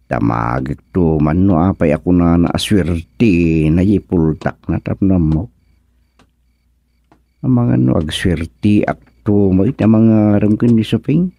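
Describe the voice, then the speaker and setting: A man's voice: sits low, creaky, warm tone, no echo, heavily acted. A man acting a bashful, nervous young suitor in an Ilocano radio comedy, stammering and exclaiming with an Ilocano accent. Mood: musing, amused. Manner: stammering, exclaiming, muttering anxiously to himself.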